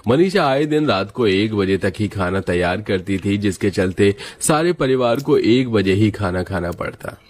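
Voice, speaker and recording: A man's voice: on the low side, lively intonation, normal speed, hardly any pauses. A man narrating a scripted family moral story.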